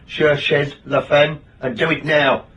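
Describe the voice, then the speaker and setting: Blunt, high-pitched British detective boss. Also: male voice, little pitch movement, unhurried, a bit bright, recorded from a distance, muffled recording. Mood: bossy, insistent. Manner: colloquial, emphatic, broken-off phrases. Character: blunt, wisecracking male boss of a small detective agency. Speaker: actor. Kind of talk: radio drama acting.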